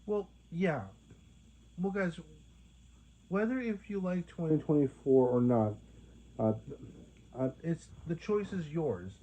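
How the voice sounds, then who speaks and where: A man in his twenties rambling to his viewers in a monologue, halting often with restarts and fillers. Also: fairly high pitch, lively intonation, warm tone. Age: 20s. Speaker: vlogger. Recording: phone-like narrow sound.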